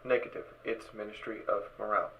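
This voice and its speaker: A man voicing a literal-minded spacesuit computer in an audio drama, clipped, formal, mechanical and deadpan. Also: on the low side, fast talker, lightly acted. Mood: warning, insistent. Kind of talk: fan audio drama character acting. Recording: dull, distant, noisy sound.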